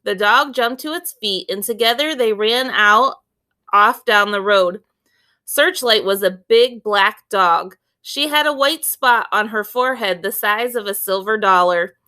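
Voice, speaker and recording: A woman reading a children's book aloud as an expressive storyteller, with deliberate, clear phrasing. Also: medium pitch.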